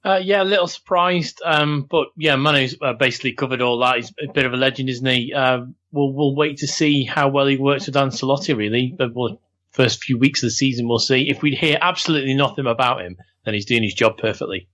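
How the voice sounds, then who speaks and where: A man's voice: medium pitch, brisk, fairly bright tone. A man chatting casually as a panellist on a football podcast. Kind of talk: casual chat.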